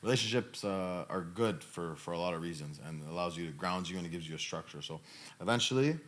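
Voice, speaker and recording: A man's voice: deep voice, expressive, quick pace, fairly bright tone. A man in his thirties talking casually as a podcast host, emphatic and given to repeating his phrases. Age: 30s. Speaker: podcast host answering questions. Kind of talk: casual conversational talk.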